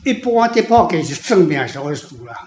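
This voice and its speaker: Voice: medium pitch, sing-song, brisk, darkish tone, slight background noise. A guest author with a Taiwanese accent, casually explaining and answering questions in a group discussion. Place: echoey room, group discussion. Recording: echoey room.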